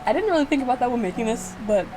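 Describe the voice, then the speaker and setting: A woman's voice: on the high side, expressive, racing, music in the background. A woman giving casual, rambling playthrough commentary, giggly and poking fun at herself. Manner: self-mocking, giggly, rambling. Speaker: video creator reacting to own game.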